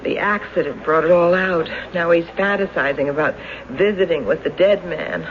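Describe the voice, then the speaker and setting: An actress playing a worried, soothing wife in a 1970s radio drama. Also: female voice, on the low side, moderate pace, lightly acted. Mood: troubled. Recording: muffled, hissy 1970s radio recording.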